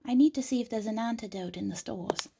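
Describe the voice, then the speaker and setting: A woman's voice: a little high, a little fast, creaky, background noise. A woman playing a worried, urgent ally in an audio drama. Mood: alarmed.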